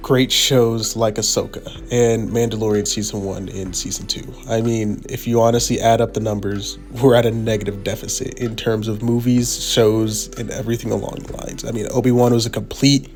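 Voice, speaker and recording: A man with a hoarse voice from being sick, giving heated opinion commentary over a video, repeating himself for emphasis. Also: lowish voice, level tone, normal speed, hardly any pauses, darkish tone, faint music behind. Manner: pleading, repetitive emphasis.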